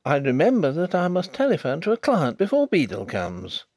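A man's voice: on the high side, huge pitch swings, moderate pace, darkish tone, no echo, pitched above the performer's own voice, exaggerated voice. A man voicing a complacent, pompous middle-aged private inquiry agent in a story reading. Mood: hasty.

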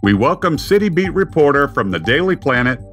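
A man's voice: fairly high pitch, sing-song, a little fast, over music. A man playing a polished, formal television debate moderator in an audio drama. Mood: polite, brisk. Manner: formal, presenterly.

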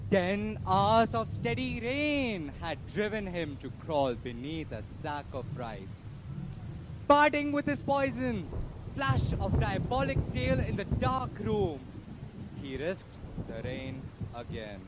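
Slow, solemn teenage boy reciting a poem. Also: high voice, big pitch swings, noisy background. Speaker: narrator of a school play. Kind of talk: slow dramatic poetry recitation.

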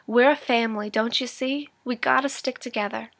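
A woman's voice: fairly high pitch, fairly even intonation, moderate pace, creaky, fairly bright tone. A woman voicing a stubborn, devoted ten-year-old boy in a storybook reading, raising her voice to sound eager and boyish. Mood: defiant.

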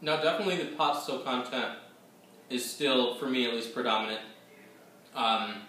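A man's voice: medium pitch, moderate pace, bright, forceful tone, a little hiss or hum. Young man rambling through a whiskey tasting. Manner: rambling, hesitant, many fillers. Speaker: whiskey reviewer. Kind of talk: casual tasting review to camera. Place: small room at home, seated at a table. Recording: echoey room, voice a bit distant.

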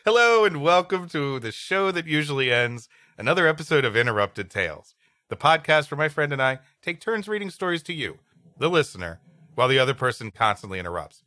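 A man's voice: fairly high pitch, sing-song, a little fast, sharp tone, dry recording, clean studio sound. A man co-hosting a comedy podcast, bantering and reading stories. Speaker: podcast co-host. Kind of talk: comic banter and story reading.

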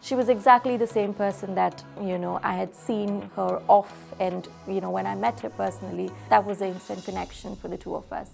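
A woman in her thirties, a makeup artist, recalling her story warmly in a seated interview beside a makeup table. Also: mid-range voice, steady tone, a little fast, vocal fry, few pauses, loud music behind the voice. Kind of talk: sit-down interview. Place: studio flat with makeup table, indoors.

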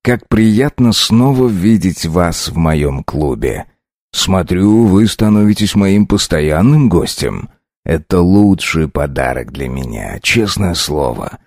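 A man voicing a suave club host in an audiobook, confiding and courteous in an unhurried way. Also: low voice, animated, darkish tone, dry recording, clean studio sound, full character voice. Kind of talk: audiobook character narration.

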